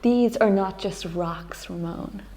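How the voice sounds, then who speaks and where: A woman acting a down-to-earth, educated, gently sarcastic flatmate in a sitcom table read. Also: medium pitch, slow. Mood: matter-of-fact.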